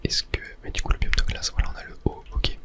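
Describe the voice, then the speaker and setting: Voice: fast, a bit bright. Whispered voice, ASMR gameplay host, close microphone, hesitant murmured thinking aloud, self-correcting. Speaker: gaming video host.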